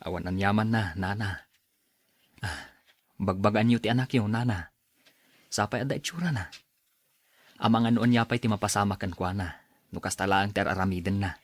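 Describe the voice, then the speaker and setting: A man's voice: on the low side, steady tone, normal speed, long pauses, no room sound, close to an everyday voice. An actor playing a kind, patient young man advising the woman he loves, in a radio drama. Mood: gentle, advising. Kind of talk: radio drama acting in dialogue.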